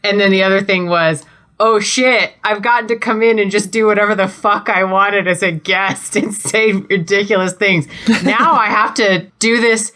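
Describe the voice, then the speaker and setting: A woman's voice: medium pitch, fairly even intonation, moderate pace, sharp tone. A woman co-hosting a podcast, chatting casually and candidly with some mild swearing. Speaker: podcast co-host. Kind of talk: casual group chat.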